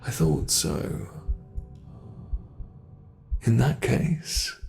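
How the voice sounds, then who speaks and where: Male actor as sadistic monster, gravelly fry, unhurried delivery, mid-pitched, taunting soft questions, gloating menace, ASMR horror roleplay. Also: very animated, exaggerated voice. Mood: smug menace. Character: sadistic male monster gloating over captive human prey.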